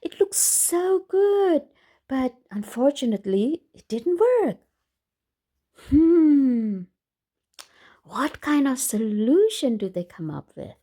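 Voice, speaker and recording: A woman reading a children's story aloud in an expressive way, exclaiming and putting questions to her listeners. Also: high-pitched, takes it slowly. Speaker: storytime reader for a children's book club. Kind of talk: animated children's read-aloud with commentary to listeners.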